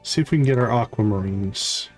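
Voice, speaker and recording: A man hosting a livestream, giving casual gameplay commentary and thinking aloud in an unhurried way. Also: medium pitch, soft background music. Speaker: livestream host.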